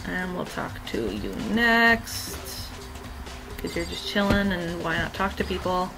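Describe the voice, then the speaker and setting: A woman with a slightly hoarse, fading voice, giving casual, irreverent commentary while playing a game as host. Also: fairly low pitch, a little slow, over music. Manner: casual and irreverent, with mild swearing. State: losing her voice, slightly hoarse. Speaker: gaming video host. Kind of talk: let's play commentary.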